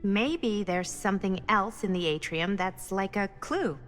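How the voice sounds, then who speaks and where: A young woman exploring in a video game. She speaks at an unhurried, slow pace with a dry, sardonic manner, as if musing to herself. Music plays clearly behind her. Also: medium pitch, animated, fairly bright tone. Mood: curious, wry.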